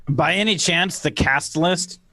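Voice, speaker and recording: A man's voice: on the high side, takes it slowly, gravelly, pushed and bright, dry recording. A man from a voice acting cast, chatting casually with his fellow players. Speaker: voice actor in the cast. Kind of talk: casual chat.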